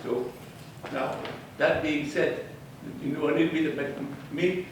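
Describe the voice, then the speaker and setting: An older man with a Belgian accent, Dutch and French in his vowels, addresses an audience with animated, wry storytelling. His voice sits a little high. He is picked up from a distance in a very echoey room, with audible background noise. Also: measured pace. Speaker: eyewitness speaker.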